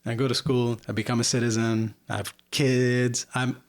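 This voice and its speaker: A man's voice: mid-range voice, little pitch movement, normal speed. A man from Lebanon, talking as a guest at home in a candid, rambling way, full of "like" and "you know.". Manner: candid, rambling, filler-heavy with frequent "like". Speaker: podcast guest. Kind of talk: casual conversation.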